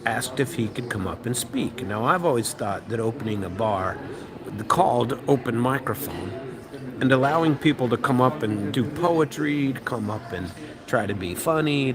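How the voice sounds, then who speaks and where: An American man and radio host telling a rambling story with many fillers and restarts. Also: fairly low pitch. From United States. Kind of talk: casual storytelling monologue. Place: restaurant or bar, eating while talking.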